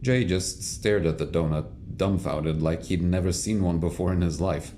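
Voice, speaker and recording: A man's voice: deep voice, moderate pace, mellow, a little hiss or hum. A man reading scripted third-person narration for an audio drama, as a storyteller. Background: music.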